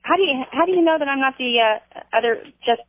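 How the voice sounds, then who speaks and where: A woman's voice: high voice, lively intonation, brisk, no room sound. A woman calling a radio show over a muffled phone line, arguing in a hesitant yet stubbornly insistent way. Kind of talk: argumentative phone-in conversation. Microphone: telephone.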